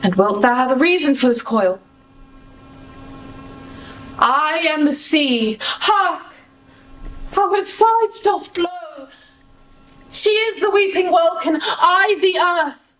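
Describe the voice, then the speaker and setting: A woman's voice: very high voice, slow, long pauses. A woman performing an anguished, grief-stricken father in an impassioned, declamatory Shakespearean monologue in an echoey room. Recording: echoey room, distant microphone.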